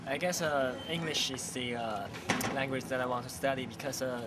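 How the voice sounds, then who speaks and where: A man's voice: medium pitch, moderate pace, noisy background. A man whose first language is Chinese, answering a street interview hesitantly with many fillers.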